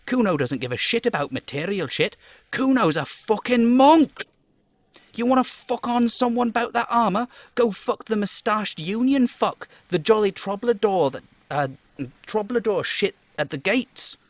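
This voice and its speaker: A man voicing an aggressive, sneering young street boy who swears and talks about himself in the third person in a high, strained voice, in a game. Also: lively intonation, measured pace, dry recording. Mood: aggressive, scornful. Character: foul-mouthed aggressive bratty young street boy, Kuno.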